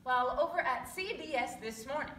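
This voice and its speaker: Young female stage reciter, high forceful voice, echoey distant recording. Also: expressive, brisk. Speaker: readers theatre performer. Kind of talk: dramatic scripted recitation. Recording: echoey room, distant mic, faint noise.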